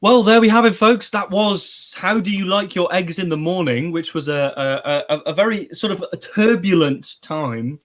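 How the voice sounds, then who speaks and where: Young man hosting with a playful, slightly high voice. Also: moderate pace, hardly any pauses, a bit bright. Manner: playful, presenter-like announcing with bursts of energy. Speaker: co-host. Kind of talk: casual livestream chat.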